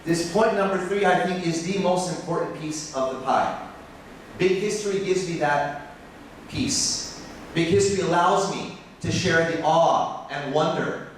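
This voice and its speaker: An American man, a biology professor, giving a passionate conference talk that turns animated as he makes his points. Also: a little hiss or hum. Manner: passionate, rising in pitch when animated. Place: conference hall. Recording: echoey hall from far off.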